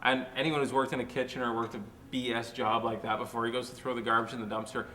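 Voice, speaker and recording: Enthusiastic man with a bright, forceful voice. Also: mid-range voice, little pitch movement, fast. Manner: enthusiastic, emphatic. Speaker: comic review show panelist. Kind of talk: casual panel discussion.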